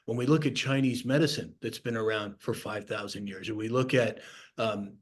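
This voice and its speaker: A man's voice: on the low side, moderate pace. A man with an American accent, talking conversationally as the host of an interview show. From United States.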